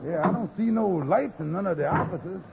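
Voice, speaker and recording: A man's voice: high voice, lively intonation, a little fast, gravelly. A man playing a good-natured, inquisitive cab driver in a folksy, questioning way in a 1950s radio comedy. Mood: curious, friendly. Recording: muffled, hissy old radio recording.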